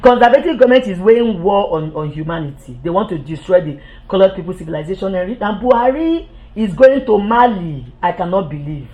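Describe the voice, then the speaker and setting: A woman's voice: mid-range voice, lively intonation, fairly quick, dull audio. A woman giving an impassioned political monologue, heated and accusatory, repeating herself and stumbling over restarts when agitated.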